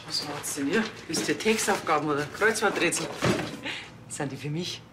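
A woman's voice: low voice, moderate pace, very noisy, close to an everyday voice. An actress playing a nosy, cheeky, food-loving woman who talks in a chatty, curious way. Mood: curious, eager.

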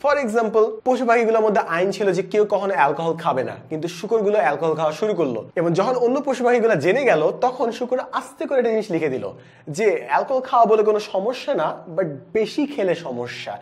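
High-voiced young Bangladeshi man explaining. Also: fairly quick. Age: 20s. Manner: animated, explanatory, mixes in English words. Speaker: book reviewer, educational video host. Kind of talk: presenting a book review to camera. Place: indoor studio with plain backdrop. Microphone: lapel mic.

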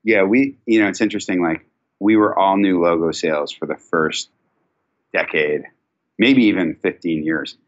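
Executive interview guest: man, forties, deep voice, conversational and considered, dull lo-fi call recording. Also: normal speed. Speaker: company chief executive, guest. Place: indoor office, video call. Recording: dull video call audio.

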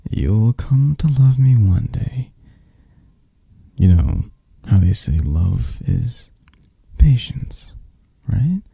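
A man voicing an obsessed, flirty, possessive male kidnapper in a hushed, halting ASMR roleplay. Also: mid-range voice, no echo, studio quality, exaggerated voice. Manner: hushed, halting, breathy-soft delivery.

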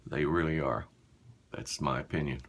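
A man in his fifties chatting casually and haltingly to viewers in a small bathroom while busy with a task. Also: very deep voice, steady tone, slight background noise. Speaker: shaving vlogger.

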